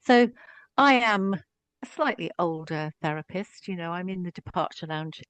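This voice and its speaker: A woman's voice: lowish voice, animated, normal speed, smooth, no echo. A woman in her sixties with an English accent, a psychotherapist talking openly and chattily about herself as a podcast guest. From Scotland. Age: 60s. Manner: warm, open and chatty, self-deprecating. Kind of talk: casual podcast conversation.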